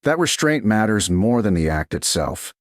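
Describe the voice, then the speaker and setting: A man voicing a composed, resolute noblewoman in a romance story, speaking quietly and evenly. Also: on the low side, very animated, normal speed, no room sound, clean studio sound.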